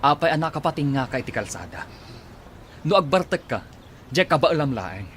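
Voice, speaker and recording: A man's voice: on the high side, big pitch swings, normal speed, fairly bright tone, a little hiss or hum. A man playing an irritated stranger in an audio drama, scolding brusquely with an Ilocano Filipino accent. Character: irritated male stranger scolding a drunk woman.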